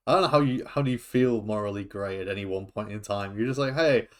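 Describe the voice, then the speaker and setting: A man's voice: lowish voice, fast talker. Giggly young man hosting a podcast. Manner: chatty, laughs often, trips over words. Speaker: podcast host. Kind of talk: casual chat.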